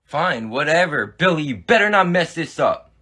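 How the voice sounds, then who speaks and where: A husky, high-for-a-man voice of a man acting as a teenage brother in a comedy skit. He is curt, bossy and easily irritated. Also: very animated, moderate pace, bright, forceful tone, full character voice. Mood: annoyed. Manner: curt, commanding.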